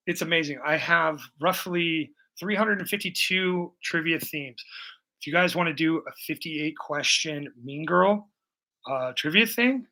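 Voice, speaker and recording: A man's voice: fairly high pitch, creaky, sharp tone, low-quality recording. A man in his forties chatting casually with viewers while painting live, rambling, joking and swearing. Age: 40s. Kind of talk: casual live-stream chat.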